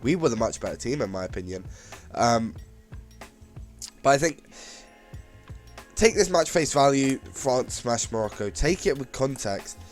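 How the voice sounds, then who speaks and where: A man with a slightly raspy voice from being ill, chatting casually about football predictions with lots of fillers and restarts. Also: medium pitch, animated, unhurried, long pauses, faint music behind. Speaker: football channel host. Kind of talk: casual predictions talk to camera.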